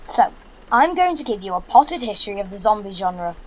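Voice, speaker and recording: High-voiced sarcastic Yorkshire teenage lad. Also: male voice, sing-song, a little fast, poor audio. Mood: lecturing. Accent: Yorkshire English. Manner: sarcastic, blunt. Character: sarcastic, exasperated fifteen-year-old son, keen gamer. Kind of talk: naturalistic audio drama acting.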